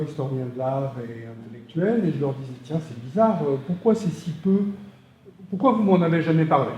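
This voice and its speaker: A man's voice: fairly high pitch, warm tone. A man in the audience asking a long, rambling question from the floor, hesitating with many fillers. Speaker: audience member. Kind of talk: question from the floor at a public discussion. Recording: echoey, dull hall sound.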